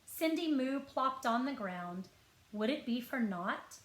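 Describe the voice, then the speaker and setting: A woman reading a picture book aloud to children as a librarian, with expressive, deliberate phrasing. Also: high voice. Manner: expressive storytelling, clear deliberate phrasing, asks listeners questions. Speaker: school librarian. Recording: roomy echo.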